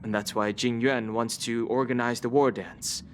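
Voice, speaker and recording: An actor playing a calm, reserved young man who speaks in a reassuring way in a game. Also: medium pitch, moderate pace, slight background noise, lightly acted. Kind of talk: game voice acting.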